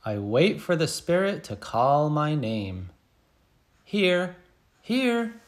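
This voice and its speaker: Storybook role: excited little schoolgirl, played by a man, expressive and animated, unhurried delivery. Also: on the high side. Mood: playful, emphatic. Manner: expressive, emphatic sound-effect words. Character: excited little schoolgirl telling her first day. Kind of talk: picture book read-aloud.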